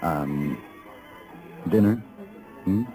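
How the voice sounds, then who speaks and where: An actor playing a suave gentleman gunfighter with a courtly, wry manner in a 1950s radio western. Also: very deep voice, faint music behind, heavily acted. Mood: playful, romantic. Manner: courtly, wry, measured politeness. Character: suave, gentlemanly male gunfighter of the Old West.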